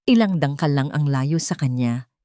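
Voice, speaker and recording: A woman's voice: deep voice, expressive, moderate pace, mellow, no room sound, clean studio sound. A woman narrating a scripted story in a dramatic style.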